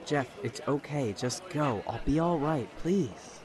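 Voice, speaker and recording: An actor playing a frightened, gentle young man who pleads in a shaky, halting voice in an audio drama. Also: medium pitch, lively intonation, a crowd in the background, close to an everyday voice. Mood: frightened, pleading.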